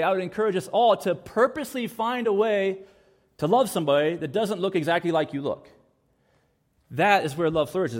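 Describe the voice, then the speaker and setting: A man from the United States preaching a sermon in church, earnest and repeating key phrases for emphasis. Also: high voice. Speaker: pastor.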